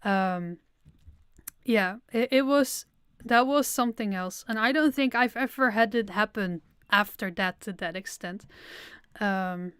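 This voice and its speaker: Young woman, chatty and self-deprecating, smooth clear voice, dry sound. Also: mid-range voice, a little slow, a bit bright, no echo. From Netherlands. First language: Dutch. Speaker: audio creator streaming a Q&A. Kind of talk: casual livestream Q&A.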